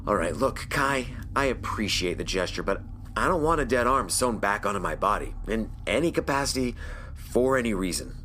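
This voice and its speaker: A man's voice: lowish voice, animated, normal speed, pushed and bright, a little hiss or hum. A man playing an injured spaceship captain in an audio drama, resigned, wry and matter-of-fact. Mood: firm, resigned.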